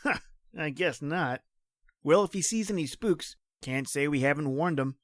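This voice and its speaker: Man acting as cocky young motorcycle cop, somewhat high voice, brash, clipped, radio drama. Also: moderate pace, no echo, studio quality, lightly acted. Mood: teasing, dismissive. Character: brash young police trooper, cocky and practical.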